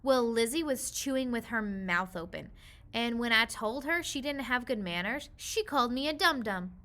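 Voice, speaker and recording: A woman's voice: fairly high pitch, animated, normal speed, bright, forceful tone. A woman voicing a sulky, complaining little girl in a children's storybook, with whiny, indignant dialogue.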